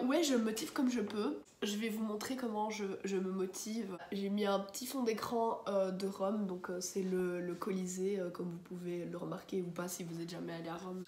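A woman's voice: medium pitch, fairly even intonation, normal speed, few pauses, reverberant, a little hiss or hum. A young Belgian woman with a cold and blocked nose, chatting casually to camera in her bedroom, rambling with many fillers. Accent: Belgian French. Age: young adult. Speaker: vlogger. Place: bedroom at home, indoors.

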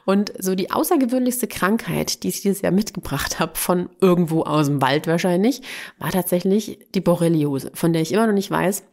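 A woman's voice: on the low side, lively intonation, moderate pace, darkish tone. A woman in her thirties hosting a solo podcast, talking candidly and casually with a slightly congested voice from a cold. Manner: chatty, candid, with fillers and laughs. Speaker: podcast host.

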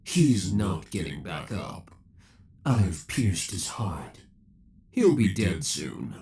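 A man's voice: low voice, very animated, slow, dark tone, breathy edge, exaggerated voice. A man playing an obsessive young villain in a roleplay audio drama, theatrical and formal, taunting with cold affection. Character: obsessive young male villain, possessive, menacing, coldly affectionate.

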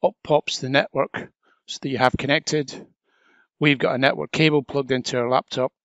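Male trainer, medium pitch, informal step-by-step explainer delivery, dry lo-fi voice-over recording. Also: steady tone, moderate pace, a bit bright, no echo. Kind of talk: casual step-by-step software tutorial narration.

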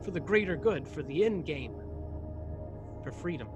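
High-pitched, formal young starship ensign played by a man. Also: expressive, music in the background, natural voice. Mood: resigned. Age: young adult. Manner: formal, measured phrasing. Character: resolute, self-sacrificing male starship ensign. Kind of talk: radio play acting. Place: indoors at home, video call. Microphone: desk condenser microphone, close.

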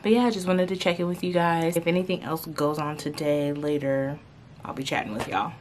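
A woman's voice: low-pitched, fairly even intonation, brisk, darkish tone, a little hiss or hum. A young woman vlogging casually from her bedroom, talking close to the camera and rambling with lots of "like" and "um". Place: bedroom at home, indoors. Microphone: camera close in front of her face.

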